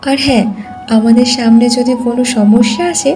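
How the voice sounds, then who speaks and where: A woman voicing a gentle, dutiful young village woman in a cartoon folk tale, speaking in an earnest, pleading manner. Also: a little high, steady tone, a little fast, faint music behind, close to an everyday voice. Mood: reassuring.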